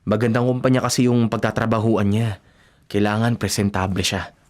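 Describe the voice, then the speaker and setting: An actor playing a devoted, self-sacrificing young working man in a Filipino radio drama, speaking gently and earnestly with a Filipino accent. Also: lowish voice, flat delivery, fairly quick, faint music behind, close to an everyday voice. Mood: earnest, defending. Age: young adult. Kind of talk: Tagalog radio drama acting.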